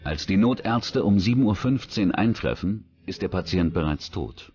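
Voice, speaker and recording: A man's voice: low-pitched, expressive, moderate pace, a little mellow, breathy edge, no echo, muffled recording. A man narrating a true-crime documentary from a script. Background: background music.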